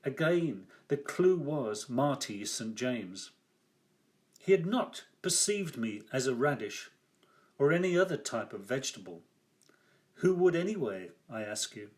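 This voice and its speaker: An older man reading his own first-person story aloud like an author at a reading, theatrical and wry, with deliberate emphasis. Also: mid-range voice, big pitch swings, a little mellow.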